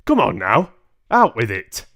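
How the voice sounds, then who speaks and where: Storybook role: big gruff pompous dad, performed by a male reader, loud and irritable, swooping emphatically. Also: medium pitch, huge pitch swings, a little slow, full character voice. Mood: stubborn, annoyed. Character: large coarse gruff blustering father, easily irritated.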